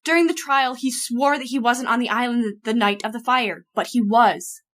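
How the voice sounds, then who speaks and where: Female actor as nervous, distrustful friend, high voice, urgent delivery for a radio chiller. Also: normal speed, fairly bright tone. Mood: accusing. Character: anxious, suspicious female friend in a horror thriller. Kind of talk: old-time radio horror acting.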